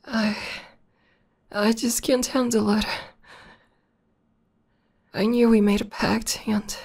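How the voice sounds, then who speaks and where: A woman playing a tender, lovestruck tomboy demoness in a romantic ASMR roleplay, speaking softly and haltingly with half-whispers. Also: fairly high pitch, level tone, exaggerated voice. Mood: nervous, emotional. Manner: soft, halting, breathy half-whispers with emotional stammers. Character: tender, lovestruck tomboy demoness, millennia old, newly proposing.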